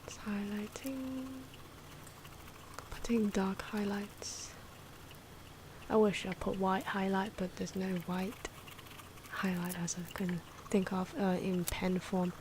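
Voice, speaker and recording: A soft-spoken woman giving casual ASMR commentary on drawing, often slipping into whispers, in an unhurried, rambling and playful way. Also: mid-range voice, fairly even intonation. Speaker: host of an ASMR drawing channel. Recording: clear background noise from drawing sounds. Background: pen and marker scratching.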